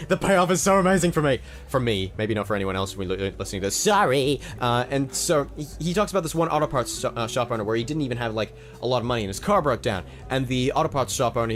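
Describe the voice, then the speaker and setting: Man with a slight Australian lilt. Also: medium pitch. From Canada. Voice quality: rambling, restarts a lot, gets excited. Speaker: podcast co-host. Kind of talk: casual storytelling chat.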